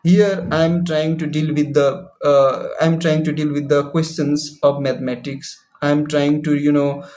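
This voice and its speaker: A young man whose first language is Hindi gives an earnest, motivational English lesson. He speaks in a mellow, somewhat high voice. He repeats himself often and talks directly to the viewer from a ground-floor room at home. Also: flat delivery, moderate pace, few pauses. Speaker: English teacher. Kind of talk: motivational talk to camera.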